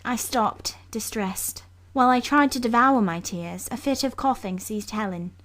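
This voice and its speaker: A woman reading literary narration for an audiobook in a measured, sombre storytelling manner. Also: fairly high pitch, a bit bright.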